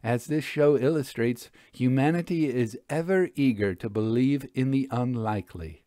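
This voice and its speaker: A man's voice: mid-range voice, normal speed, dark tone, no echo, clean studio sound, natural voice. A man voicing a polite, proper and slightly hesitant woman companion in an audio story. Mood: wry. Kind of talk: audio storybook character acting.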